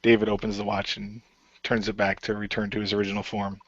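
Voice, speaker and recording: A man's voice: on the low side, completely flat delivery, fast talker, dry recording. A man taking part in a casual role-play radio show as a player, recorded with dull sound. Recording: dull, lo-fi audio.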